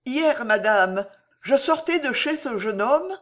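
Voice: high-pitched, animated, slow pace, voice pushed up. A reader voicing a fearful old astrologer. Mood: grave. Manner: hesitant, grave and solemn. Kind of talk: audiobook character reading.

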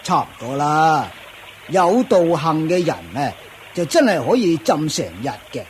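An actor playing a proud older ascetic master in a radio drama, with a husky, raspy voice, a drawling self-satisfied manner. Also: male voice, fairly high pitch, lively intonation, measured pace, a little hiss or hum. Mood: showing off. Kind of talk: Cantonese radio drama acting.

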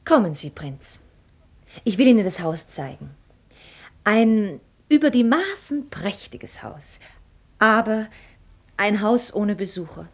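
Young woman, saucy heiress, medium pitch, animated, witty, ornate diction, radio play. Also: takes it slowly, pauses often, full character voice. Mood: wistful irony. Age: young adult. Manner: articulate, mocking, ornate phrasing. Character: pert, sharp-tongued young heiress, witty and proud. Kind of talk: German radio play acting.

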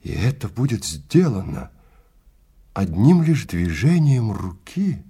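A man narrating literary fiction in a theatrical, measured manner with deliberate phrasing. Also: fairly low pitch, very animated, dark tone, slightly rough.

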